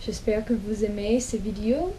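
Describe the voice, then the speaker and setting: A young American woman, a native English speaker, telling her own story in a casual vlog, with slow hesitant speech full of pauses and restarts. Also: medium pitch, mellow, slight background noise. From United States. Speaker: language vlogger. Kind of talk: casual personal storytelling in learned French.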